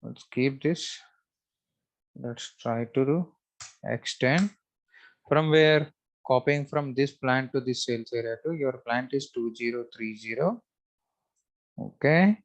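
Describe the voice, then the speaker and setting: Halting male software trainer, thinking aloud. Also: mid-range voice. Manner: halting, repetitive, instructional. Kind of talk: step-by-step screen-share tutorial, thinking aloud.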